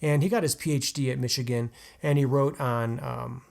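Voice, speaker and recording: A man's voice: medium pitch, fairly even intonation, moderate pace, gravelly, a little mellow. A man co-hosting a podcast, talking about a novel in a casual, earnest and analytical way. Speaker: podcast co-host. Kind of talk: casual book discussion.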